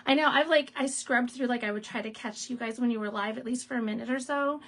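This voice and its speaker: A woman hosting a live stamping demo, raspy and clearing her throat from asthma, chatting in a rambling way with laughs and asides. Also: a little high, quick pace, fairly bright tone. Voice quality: gravelly, husky. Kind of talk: casual live craft demo chat.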